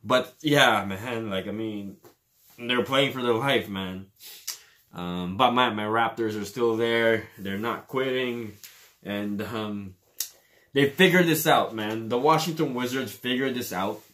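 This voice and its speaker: Laid-back Filipino-accented man, low voice. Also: slow pace, fairly bright tone. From Philippines. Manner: casual, many fillers, says "man" often. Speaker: fan commentator. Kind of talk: casual game commentary over footage.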